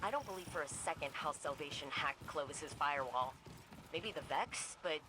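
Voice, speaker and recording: A brisk, friendly woman scientist giving mission guidance in a video game. She sounds casual, wry and alert. Game ambience makes a noisy backdrop. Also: low-pitched, lively intonation. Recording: noisy game action mix.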